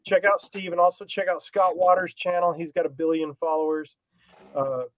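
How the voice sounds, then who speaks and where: High-pitched man with a cold. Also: flat delivery, normal speed, no room sound, low-quality recording. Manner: laid-back, loose delivery with fillers. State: has a cold. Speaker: podcast host. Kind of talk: casual chat.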